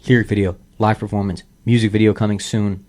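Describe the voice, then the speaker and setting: A man's voice: lowish voice, little pitch movement, quick pace, darkish tone. A man, hosting a radio interview in a casual, chatty way. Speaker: radio show host interviewing guests. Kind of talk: casual chat.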